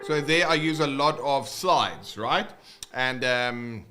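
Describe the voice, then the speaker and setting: A man's voice: medium pitch, takes it slowly, pushed and bright. A man with a South African accent, teaching guitar in a casual, rambling livestream with many fillers and restarts.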